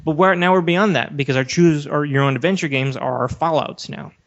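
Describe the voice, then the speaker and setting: A man's voice: fairly high pitch, fairly quick. A man co-hosting a podcast, explaining his opinions in casual, argumentative discussion. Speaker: podcast co-host. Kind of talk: casual discussion of video games. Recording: dull, lo-fi voice recording.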